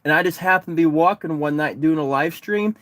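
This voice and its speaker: Male streamer, higher-pitched, dry close phone sound, tired, rambling chatter. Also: a little fast, a bit bright, dry recording. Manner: rambling, repeats himself, frequent restarts. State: exhausted, sunburned. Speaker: livestreamer, distance swimmer. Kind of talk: casual livestream chat reading comments. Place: parked car.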